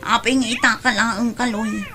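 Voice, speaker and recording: A woman's voice: a little high, fairly even intonation, moderate pace, over loud music, lightly acted. A woman playing an aggrieved, long-suffering young wife in an audio drama, with an Ilocano accent. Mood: worried.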